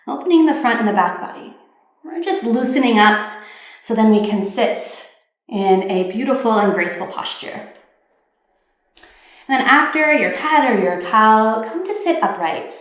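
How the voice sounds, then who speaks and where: A woman leading a guided meditation, giving gentle, soothing instructions in an unhurried way with pauses. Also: on the high side. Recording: very echoey, reverberant room.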